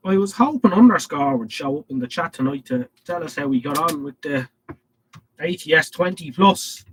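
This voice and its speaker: A man's voice: on the high side, animated, moderate pace, a little hiss or hum, rough recording. A man with an Irish accent and a head cold, hosting a casual live stream and reading out viewer comments in a rambling way. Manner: rambling, reads chat messages aloud.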